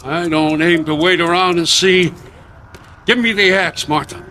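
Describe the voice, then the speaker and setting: A man's voice: high-pitched, fairly even intonation, unhurried, bright, forceful tone, slight background noise. A man acting as a blind, protective farmer, a folksy creature in a suspense radio drama. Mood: tense, wary. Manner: folksy, rustic, plainspoken. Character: blind, protective male alien farmer, devoted husband.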